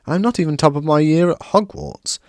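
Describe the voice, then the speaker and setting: A man voicing a polite, awkward young wizard boy who talks in a hushed, flustered way. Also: on the high side, fairly quick, vocal fry, no echo, voice pushed up.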